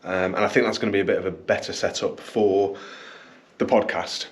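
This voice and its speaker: A man's voice: low voice, steady tone, brisk. A man with a slight cough, hosting a casual vlog from a small indoor studio, chatty and upbeat with ums and self-corrections. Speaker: business owner and channel host.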